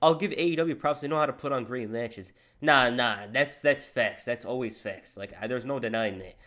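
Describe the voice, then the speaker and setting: A man's voice: mid-range voice, normal speed, fairly bright tone. A man streaming live, reacting to a wrestling video and reading out donations in loose, slangy chatter. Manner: loose slangy chatter, says bro a lot.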